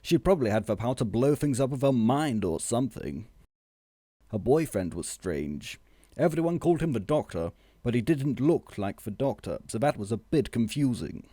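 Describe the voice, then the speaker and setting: A man reading a scripted comic story as narrator, measured and deadpan. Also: medium pitch, dry recording.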